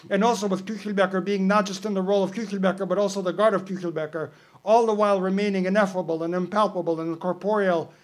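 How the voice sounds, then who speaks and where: Man putting on a loud declaiming pirate, high voice, brooding and wordy. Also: lightly acted. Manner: loud, declaiming, long winding sentences. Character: verbose brooding male pirate narrator.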